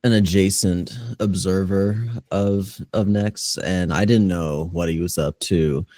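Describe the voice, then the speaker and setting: Very deep, slow-talking man. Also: fairly even intonation, dark tone, dry recording. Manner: hesitant, stumbling. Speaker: creative director. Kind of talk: interview guest.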